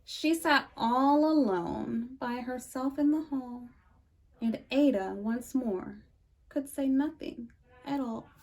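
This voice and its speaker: Woman, fairly high voice, unhurried storytime reader, sing-song rhyming delivery. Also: poor audio. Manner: lively sing-song reading with slow emphatic pauses. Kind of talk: reading a rhyming picture book aloud.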